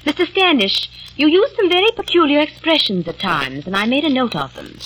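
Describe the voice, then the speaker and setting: A woman in a 1940s radio drama playing a sharp, suspicious young eighteenth-century lady who speaks in an accusing, clipped way. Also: high voice, sing-song, a little fast, poor audio.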